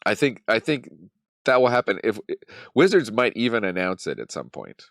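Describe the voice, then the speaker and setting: A man's voice: fairly low pitch, very animated, normal speed, gravelly, fairly bright tone, no room sound, clean studio sound. A man in his thirties hosting a casual commentary channel, talking with a cold and thinking aloud in a wry, loose way. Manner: wry, mock-dramatic, thinks aloud with many ums. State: has a cold. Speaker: channel host.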